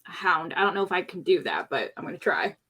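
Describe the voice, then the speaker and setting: A woman's voice: on the low side, very animated, a little fast, fairly bright tone, breathy edge. A woman speaking as a player in a tabletop roleplaying game, tentatively thinking aloud about her character's actions. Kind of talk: casual game talk, describing character actions.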